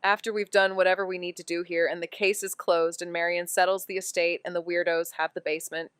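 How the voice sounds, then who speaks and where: Casting: actress for wry, guarded woman detective, smooth clear voice, intimate dry sound, understated noir audio drama. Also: fairly low pitch, little pitch movement, fast talker, a bit bright, dry recording, close to an everyday voice. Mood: tentative, longing. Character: wry, introspective, guarded woman amateur investigator. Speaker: actor in a neo-noir mystery podcast. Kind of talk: naturalistic audio drama dialogue and narration.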